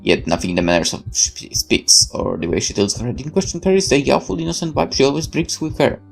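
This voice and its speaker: Dramatic male narrator with lively pitch. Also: a little fast, vocal fry, soft background music. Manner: theatrical, intense, occasional stumbles over words. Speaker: gaming channel host reading a visual novel aloud. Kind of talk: dramatic scripted horror narration.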